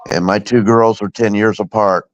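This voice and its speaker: A man's voice: lowish voice, steady tone, normal speed, a little hiss or hum. A middle-aged man from Indiana, a former truck driver, reminiscing in plain casual chat. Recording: dull, dry, lo-fi stream audio.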